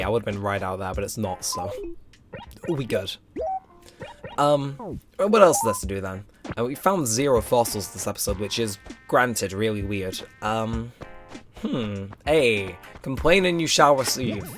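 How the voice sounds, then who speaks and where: Rambling young man, slightly hoarse. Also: mid-range voice. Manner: rambling, filler-heavy, self-mocking. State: strained, slightly hoarse throat. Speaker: let's play host. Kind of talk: casual gameplay commentary. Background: game music.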